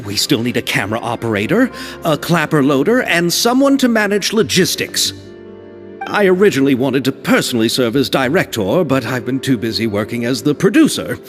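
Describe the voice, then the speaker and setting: An actor playing a timid, earnest young filmmaker in a video game, hesitant, stammering and effusively grateful. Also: male voice, mid-range voice, very animated, fairly quick, in a large hall, natural voice. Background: game music.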